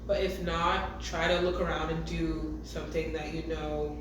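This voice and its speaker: Raspy-voiced woman, echoey distant mic. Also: low voice, moderate pace. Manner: laughs often, relaxed. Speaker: podcast co-host. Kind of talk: casual chat. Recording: echoey room, distant mic, background noise.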